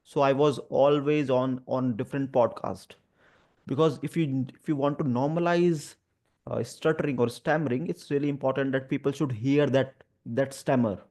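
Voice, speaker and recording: A man's voice: mid-range voice, steady tone, darkish tone. Indian man with a stammer. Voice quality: stammer, with repeated sounds and blocks. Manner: hesitant, halting, earnest phrasing. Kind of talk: personal talk about lived experience, conversational.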